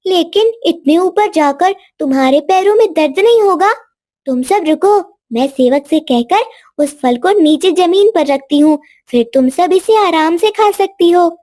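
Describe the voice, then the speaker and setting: A woman's voice: very high voice, animated, a little slow, dark tone. A woman voicing a stubborn, loving young princess who speaks earnestly and insistently in a cartoon story. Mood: curious, concerned. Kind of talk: Hindi cartoon moral story dialogue.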